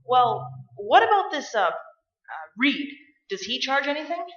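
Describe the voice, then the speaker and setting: A woman's voice: high voice, sing-song, a little slow, a bit bright, heavily acted. A woman playing a skeptical, tight-fisted property owner, curt and snippy, in a staged old-time radio play. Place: live stage performance. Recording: dull, echoey live stage recording.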